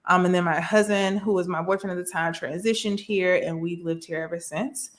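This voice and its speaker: A woman's voice: fairly low pitch, fairly quick. Bubbly woman from Memphis. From Memphis, Tennessee. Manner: bubbly and expressive, calls people girl and sis. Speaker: guest therapist. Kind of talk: casual chat on a livestream.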